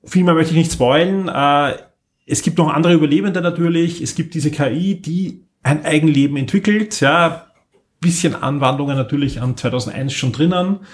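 A man's voice: fairly high pitch, moderate pace. A man chatting casually as a podcast co-host, explaining things in an enthusiastic, rambling way. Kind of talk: casual podcast chat, recommending audio dramas.

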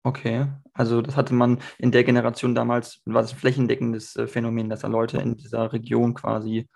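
Hesitant male interviewer with gravelly voice. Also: mid-range voice, monotone, brisk, a little mellow. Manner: hesitant, many fillers. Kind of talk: interview questions.

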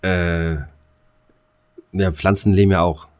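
A man's voice: low voice, flat delivery, slow pace, a little mellow, clear, resonant tone. A man co-hosting a casual German podcast chat, speaking in a blunt, opinionated, colloquial manner. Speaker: podcast co-host.

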